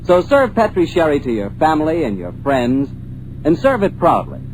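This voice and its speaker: A man announcing on an old radio show and pitching the sponsor's product in a smooth, persuasive way. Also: huge pitch swings, moderate pace, darkish tone, rough recording. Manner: smooth, persuasive salesman delivery. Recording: vintage radio broadcast with faint hiss.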